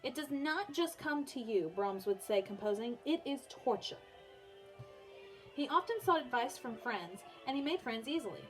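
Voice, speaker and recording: High-voiced young woman teacher, music behind. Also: expressive, moderate pace, low-quality recording. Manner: informal, often breaking off to soothe a small child. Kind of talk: reading a biography aloud for pupils, with casual asides.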